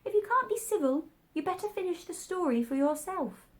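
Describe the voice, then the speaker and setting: Lady doing a drowsy sulky storybook dormouse, little and squeaky high, swoopy pitch. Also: expressive, a little fast. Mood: sulky, indignant. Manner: drowsy and huffy. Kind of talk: storybook character voice.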